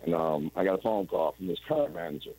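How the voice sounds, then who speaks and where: A man's voice: deep voice, big pitch swings, fast, mellow, poor audio. A man in his forties telling his story as an interview guest over a video call, rambling and restarting often. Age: 40s. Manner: rambling, with frequent restarts and "you know what I'm saying".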